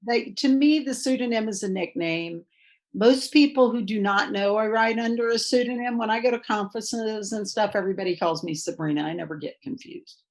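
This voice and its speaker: A woman's voice: mid-range voice, a little fast. A woman who grew up in Thailand, a guest author chatting casually in an interview and laughing as she tells anecdotes.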